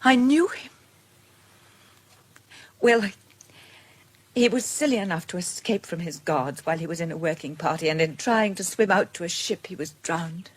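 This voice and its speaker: Period radio drama: gentle, independent middle-aged British woman, lowish husky voice, earnest and tender delivery. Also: expressive, normal speed, pauses often. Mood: wistful, regretful. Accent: British English.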